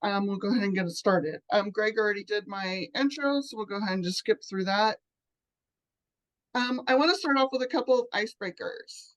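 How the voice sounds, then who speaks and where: A woman's voice: medium pitch, a little fast, poor audio. A woman presenting on a webinar over a video call, modest and hesitant with many ums. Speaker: guest presenter. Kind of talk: casual presentation.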